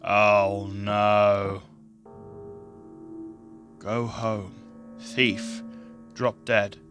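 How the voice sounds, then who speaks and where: A man with a very deep, bassy voice, hosting casual let's-play commentary on a gaming headset mic, chatty and self-deprecating. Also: flat delivery, extremely slow. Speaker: gaming channel host. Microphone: gaming headset with adjustable boom mic. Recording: game music playing under voice. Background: game music and sounds.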